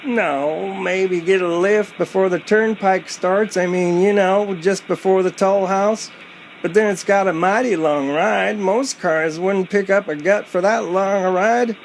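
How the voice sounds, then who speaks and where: An actor playing a folksy country gas station attendant in a radio play, talking in a colloquial, drawn-out way. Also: male voice, high voice, gravelly, slight background noise. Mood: folksy, matter-of-fact.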